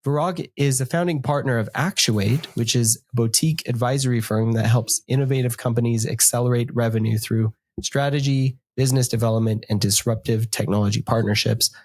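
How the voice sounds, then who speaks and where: A man's voice: mid-range voice, steady tone, fairly quick, few pauses, a little mellow, no echo. A man hosting a podcast, introducing a guest and asking questions in a conversational style. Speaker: podcast host. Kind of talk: podcast introduction and interview talk.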